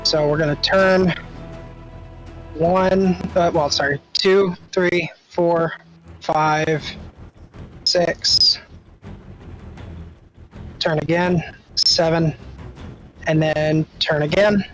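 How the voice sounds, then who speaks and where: A man's voice: a little high, flat delivery, drawn-out words, pauses often, fairly bright tone, faint music behind, poor audio. A man talking casually as a player working through his turn in a tabletop game.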